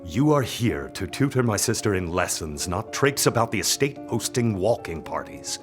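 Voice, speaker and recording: An actor playing a cold, imperious young lord, stern, clipped and contemptuous, in an audio drama. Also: male voice, fairly low pitch, big pitch swings, moderate pace, over music. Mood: indignant.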